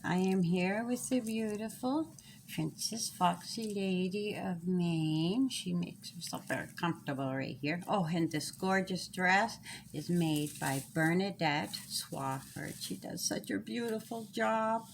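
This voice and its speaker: A woman reading a children's storybook aloud in a deliberate, sing-song rhyming way with dramatic pauses, as the author. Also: on the low side, vocal fry, slight background noise.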